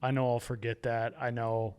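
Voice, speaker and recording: A man's voice: medium pitch, flat delivery, moderate pace, a little mellow, clean tone. A man who writes and hosts his own podcast, speaking as a guest and talking candidly about himself with self-deprecating humor. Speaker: podcast guest, author and podcast host. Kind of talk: reflective casual conversation.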